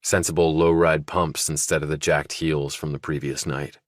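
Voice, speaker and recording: Male audiobook narrator for thriller fiction. Extremely low pitch, dry recording. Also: flat delivery, moderate pace, no room sound.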